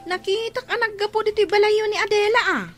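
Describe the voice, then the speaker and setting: Shrill-pitched Ilocano village gossip Petra. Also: female voice, steady tone, fairly quick, a bit bright, faint music behind. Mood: gossipy. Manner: taunting, exclamatory. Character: Petra, brash, nosy gossiping village neighbour woman. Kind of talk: Ilocano radio drama acting.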